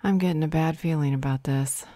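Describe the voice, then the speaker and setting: A woman's voice: low voice, steady tone, a little fast, warm tone, no echo, studio quality, lightly acted. A woman playing a serious, worried werewolf in a fantasy audio roleplay, with an earnest manner. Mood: wary.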